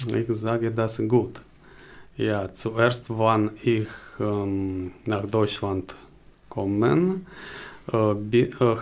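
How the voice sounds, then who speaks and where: A man in his forties with a Ukrainian accent answers interview questions. His voice sits slightly low, and he talks very slowly. He hesitates often, feeling for words in a language he learned later. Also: a little mellow. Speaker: interview guest, job seeker. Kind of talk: interview answers in halting second-language German.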